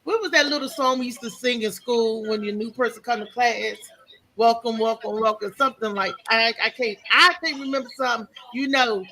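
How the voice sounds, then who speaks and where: A woman's voice: on the high side, brisk, pushed and bright, low-quality recording. An American woman hosting a live morning show in casual, chatty talk, often repeating her words. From Maryland, United States.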